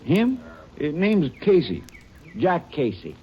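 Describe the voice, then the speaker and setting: An actor playing a gruff small-town male sheriff with a folksy drawl and dry humor, in an old radio drama recording. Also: fairly high pitch, wildly swooping pitch, slow, highs cut off, over loud music, full character voice. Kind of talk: 1950s radio drama acting.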